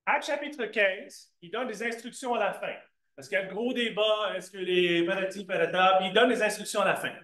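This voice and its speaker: A man's voice: sits high, a little fast, bright, forceful tone. A man teaching a church Bible class, asking the class questions and often answering them himself, with a few English asides. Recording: echoey room.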